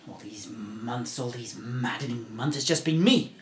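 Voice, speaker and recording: A man playing a haunted, suspicious lone male survivor in an amateur film scene, speaking in hushed, intense tones that slip into whispers. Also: mid-range voice, unhurried, roomy sound, background noise, natural voice. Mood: disbelieving.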